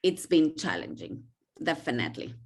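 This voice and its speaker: A woman's voice: low voice, big pitch swings, normal speed, vocal fry, a little mellow, breathy edge. A middle-aged woman from Mexico with a heavy accent that mixes Mexican Spanish and Israeli sounds, speaking earnestly and reflectively as a podcast guest. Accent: Mexican Spanish mixed with Israeli. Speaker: podcast guest, intercultural coach. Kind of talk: conversational interview.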